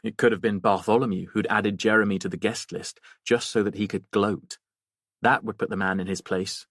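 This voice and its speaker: A man's voice: fairly low pitch, fairly even intonation, normal speed, a bit bright, no echo, studio quality. A man narrating a mystery novel as a scripted audiobook reading.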